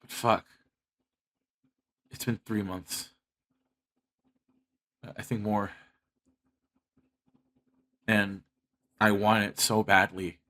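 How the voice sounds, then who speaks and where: A man's voice: lowish voice, flat delivery, extremely slow, pauses often, pushed and bright. A man of thirty speaking earnestly and with heavy swearing in a personal monologue to his online audience. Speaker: online video creator making comic dubs and skits.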